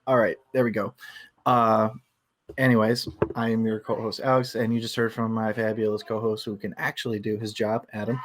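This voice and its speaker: A man's voice: on the low side, flat delivery, brisk, background noise. A man co-hosting a book club livestream, informal and playfully mock-formal in his delivery. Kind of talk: casual show hosting.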